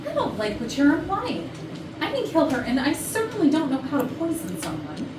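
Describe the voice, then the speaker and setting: A woman's voice: sits high, huge pitch swings, brisk. A woman playing a brash, defiant, lovesick office party girl in a staged comedy reading, with dramatic, indignant delivery. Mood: defensive. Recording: echoey room, distant mic, clear noise.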